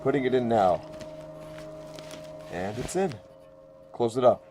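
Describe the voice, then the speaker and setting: An actor playing an eager, nervy man on a secret mission, talking casually and haltingly in an audio drama. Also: mid-range voice, expressive, warm tone, faint music behind, lightly acted. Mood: keyed up. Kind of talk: naturalistic audio drama dialogue.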